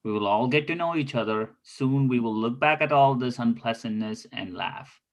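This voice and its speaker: A man's voice: medium pitch, normal speed, close to an everyday voice. Earnest, lovestruck spy in his thirties, read by a man, formal and courtly, mid-range voice, table-read drama.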